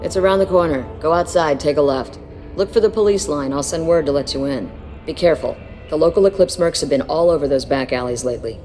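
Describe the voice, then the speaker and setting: An actress playing a dutiful, weary police detective in a video game, speaking plainly. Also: female voice, low-pitched, quick pace, darkish tone, big-room sound, soft background music, natural voice. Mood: firm, wry.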